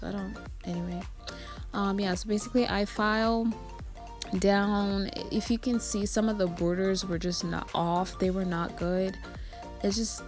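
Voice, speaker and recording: A young woman with a raspy, just-woken voice, rambling and self-critical as she narrates a vlog into her phone. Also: mid-range voice, darkish tone. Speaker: nail art vlogger. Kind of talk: casual voice-over narration.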